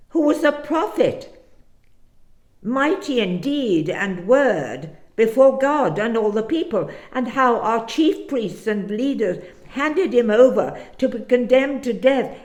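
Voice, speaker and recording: A woman voicing an earnest, distressed disciple in a dramatic scripture reading, emphatic and halting. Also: fairly high pitch, big pitch swings, a little mellow, echoey room, voice pushed up. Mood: urgent, grieving. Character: distressed, earnest disciple recounting dashed hopes and astonishment.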